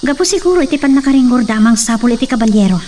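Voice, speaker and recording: A woman's voice: a little high, animated, very fast, dark tone, far from the mic, a little hiss or hum, lightly acted. A woman playing an anxious but determined young daughter in an Ilocano drama, with a Filipino Ilocano accent. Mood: worried, urgent. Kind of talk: Ilocano radio-style drama acting.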